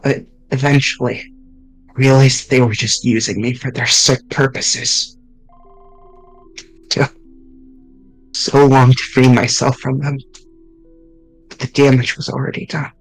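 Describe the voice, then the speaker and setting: A man streaming, reading visual novel dialogue aloud in an earnest, halting way with small restarts. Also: medium pitch, little pitch movement, soft background music, low-quality recording.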